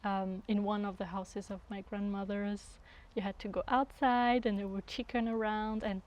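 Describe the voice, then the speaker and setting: A woman in her thirties from France, a guest sound artist talking unhurriedly and often pausing to search for words. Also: mid-range voice, little pitch movement, darkish tone, poor audio. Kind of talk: casual conversation.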